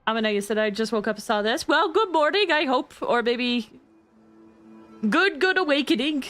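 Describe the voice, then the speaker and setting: A woman livestreaming a game, chatting casually and reacting in an animated, chatty way. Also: sits high, moderate pace, no echo. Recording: faint game music behind. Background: game music.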